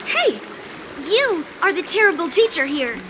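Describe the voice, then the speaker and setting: A woman's voice: extremely high pitch, big pitch swings, a little slow, noisy background, exaggerated voice. A woman voicing a proud, feisty young girl sword teacher in a video game, confident and blunt. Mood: indignant.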